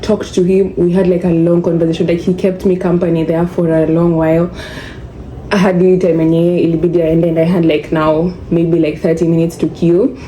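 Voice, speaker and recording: A young Kenyan woman with a low, mellow voice talks in a chatty, easygoing vlog. She mixes English with Swahili slang. The recording sounds echoey, with a faint hiss behind her. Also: flat delivery, normal speed. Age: young adult. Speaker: vlogger. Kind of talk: casual storytelling vlog. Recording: echoey room with faint noise.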